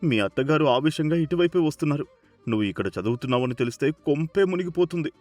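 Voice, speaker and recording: A man's voice: on the high side, expressive, fast, darkish tone, soft background music, close to an everyday voice. Man as a kindly, anxious mill boss. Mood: panicked.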